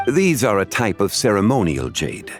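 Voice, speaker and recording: A learned, unhurried gentleman connoisseur in a video game, with a low voice. He speaks formally and courteously, with expressive rises and falls, over soft music. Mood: scholarly. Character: dignified, scholarly, calm gentleman antiques connoisseur. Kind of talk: video game cutscene voice acting.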